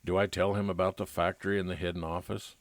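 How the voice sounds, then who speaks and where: Man, very deep voice, dry studio-like sound, scripted private-eye narration, old-time radio style. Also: level tone, fast talker, dry recording. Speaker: narrator playing a private investigator.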